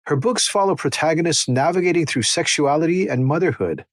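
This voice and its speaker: A man hosting a podcast, giving a scripted introduction and asking interview questions in a measured, polished way. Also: a little high, no room sound. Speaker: podcast host.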